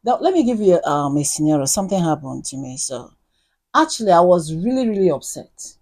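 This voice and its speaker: A woman's voice: lowish voice, a little fast. Earnest woman guest sharing views. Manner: earnest, storytelling, animated when acting out her thoughts. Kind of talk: casual chat.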